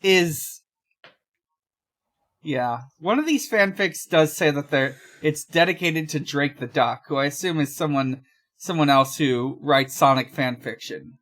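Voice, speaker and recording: A woman's voice: sits low, unhurried. A woman co-hosting a podcast, chatting casually and reading fan fiction aloud. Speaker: podcast co-host.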